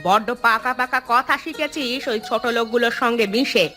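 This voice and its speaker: Shrill-tempered, high-pitched village wife played by a woman. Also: a little fast, pushed and bright, soft background music. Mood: aggrieved scolding. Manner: theatrical, scolding, sarcastic. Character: sharp-tongued, self-pitying, vain village wife. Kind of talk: Bengali fairy-tale cartoon dubbing.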